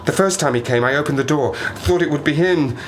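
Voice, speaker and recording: An actor playing a stranded Arctic survivor in an audio play, a sardonic, haunted man who talks haltingly and rambles in agitation. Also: a little high, lightly acted. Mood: uneasy, haunted. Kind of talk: dramatic audio play monologue. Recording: noisy ambience behind the voice.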